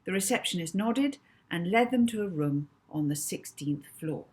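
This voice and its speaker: A woman's voice: lowish voice, animated, normal speed, slightly rough. A woman narrating a children's novel as its author, in scripted audiobook style. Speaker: author reading own novel.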